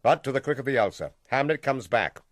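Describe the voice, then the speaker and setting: An actor playing a smooth, manipulative middle-aged king in a Shakespearean radio drama, with deliberate, theatrical delivery. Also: mid-range voice, animated, no room sound, clean studio sound, lightly acted. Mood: persuasive, goading.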